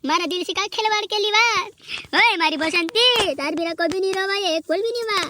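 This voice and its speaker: A performer voicing a heartbroken, melodramatic cartoon lover in a squeaky, whining voice. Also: normal speed, background noise. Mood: wounded, pleading. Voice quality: squeaky, artificially high voice. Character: heartbroken cartoon lover wailing over betrayal, squeaky and dramatic. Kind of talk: amateur comedy cartoon dub.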